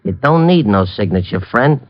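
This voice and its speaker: A man's voice: lowish voice, expressive, normal speed, lightly acted. An actor playing a thuggish, mocking hoodlum in slangy tough-guy talk, in 1940s radio acting style. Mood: mocking menace. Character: ugly thuggish hoodlum messenger, menacing and mocking. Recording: muffled vintage radio recording.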